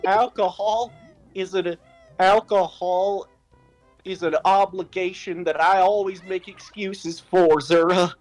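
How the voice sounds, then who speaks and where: Man putting on a high Southern country girl, slow drawl. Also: fairly even intonation, soft background music. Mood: amused, teasing. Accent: put-on Southern US. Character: bookish country bumpkin girl, giggly and teasing. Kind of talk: comedic amateur fan fiction dramatic reading.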